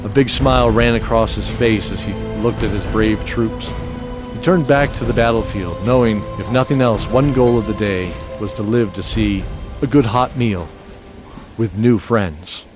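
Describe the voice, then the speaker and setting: A man tells a scripted fantasy tale as its narrator. His voice is fairly low, and his delivery is dramatic and descriptive. Music plays clearly beneath him. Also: normal speed.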